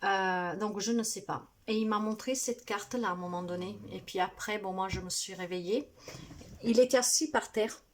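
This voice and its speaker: A woman spiritual healer speaking to her viewers in a soft, earnest, halting way, full of fillers, and sounding tired. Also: mid-range voice. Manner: hesitant, halting, many fillers. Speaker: spiritual healer and card reader. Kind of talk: casual monologue to viewers.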